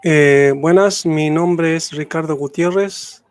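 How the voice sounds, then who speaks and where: A man's voice: a little high, slow pace. A man from Chile, giving his debate position hesitantly with fillers. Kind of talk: online debate opening statement.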